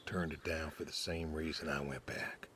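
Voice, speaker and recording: A man's voice: a bass voice, level tone, moderate pace, pushed and bright, a little hiss or hum. A man playing a stoic, weary older pig farmer and war veteran in a game, laconic and folksy. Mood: reflective, subdued. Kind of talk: naturalistic video game dialogue.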